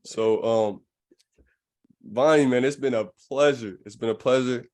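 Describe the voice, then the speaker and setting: A man's voice: mid-range voice, measured pace, darkish tone. A man chatting casually as a podcast host, in a reflective, slangy way. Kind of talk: casual chat.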